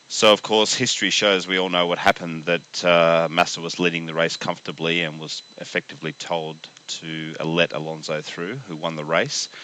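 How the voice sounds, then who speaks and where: This is a man with a very deep voice, made croaky by a flu, hosting his own podcast. His tone stays bright and forceful, with a firm edge. He delivers an earnest, opinionated rant straight to camera, often stopping to restart his thoughts. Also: low-quality recording. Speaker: podcast host.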